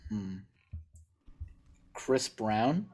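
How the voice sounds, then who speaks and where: A man in a casual podcast chat who guesses out loud and laughs often. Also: fairly low pitch, very animated, drawn-out words.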